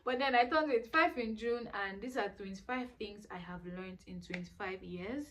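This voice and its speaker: An earnest woman talks straight to her viewers in a casual vlog. Her pitch sits in an ordinary middle range. She preaches and repeats herself to drive each point home. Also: normal speed, fairly bright tone, slight background noise. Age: 20s. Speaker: vlogger. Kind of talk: casual advice monologue.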